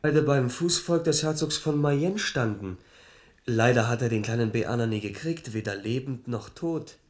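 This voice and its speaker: A man acting in a German radio drama as a cunning nobleman jester posing as a rough murder plotter, sly and mocking. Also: mid-range voice, lively intonation, moderate pace, close to an everyday voice. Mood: sly, conspiratorial.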